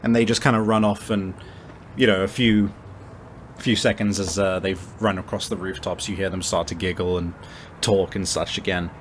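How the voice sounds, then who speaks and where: Low-voiced male game master, halting narration. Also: little pitch movement, slight background noise. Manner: halting, conversational, frequent searching pauses. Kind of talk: improvised tabletop game narration.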